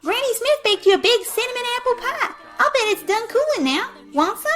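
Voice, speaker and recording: A woman's voice: very high-pitched, expressive, fairly quick, music in the background. A woman playing a young farm filly, a candid little sister, plain-spoken and childlike. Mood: coaxing. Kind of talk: audio drama character acting.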